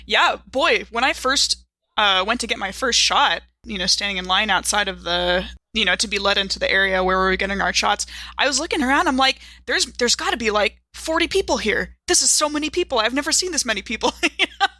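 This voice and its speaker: An American woman in casual chat, telling anecdotes in an animated, self-mocking way. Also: mid-range voice, brisk, no echo.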